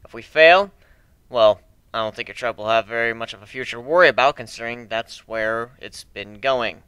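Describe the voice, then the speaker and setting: Guy playing confident pirate boss in a fantasy tale, assertive, clean forceful voice, close dry sound. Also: medium pitch, normal speed, clean tone, dry recording, close to an everyday voice. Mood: firm resolve. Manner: assertive, reasoning, firm. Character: confident, commanding male pirate crew leader and adventurer.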